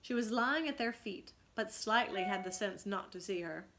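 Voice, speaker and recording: A woman's voice: mid-range voice, moderate pace, fairly bright tone, a little hiss or hum. A woman reading a children's story aloud as a teacher, narrating expressively and asking explanatory questions.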